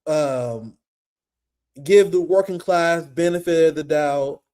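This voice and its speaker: Profane, animated man, higher voice. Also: takes it slowly. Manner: animated, profane, laughs often. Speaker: political commentary host. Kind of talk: casual livestream commentary.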